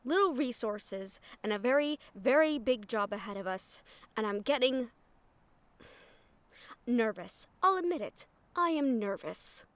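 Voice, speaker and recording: A woman playing a wry, foul-mouthed shadow thief in a fantasy audio roleplay, nervous but frank, with dramatic pauses and sighs. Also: a little high, animated, no room sound. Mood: nervous, annoyed.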